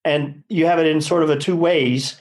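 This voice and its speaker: A man's voice: fairly high pitch, steady tone, moderate pace. A man, a nutrition scientist explaining in a rambling, self-correcting conversational way. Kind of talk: conversational expert discussion.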